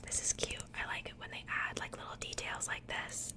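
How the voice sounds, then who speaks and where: A woman speaking in a soft, breathy whisper, chatting casually and unhurriedly in the style of an ASMR unboxing. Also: a little fast. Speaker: ASMR video creator.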